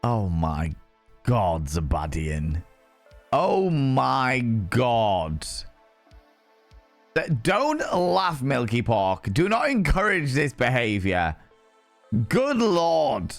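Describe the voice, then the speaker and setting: A man hosting a livestream, hoarse after a day of shouting, greeting viewers with playful, theatrical flourishes in casual chat. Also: medium pitch, wildly swooping pitch, drawn-out words, pauses often, soft background music. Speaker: livestream host.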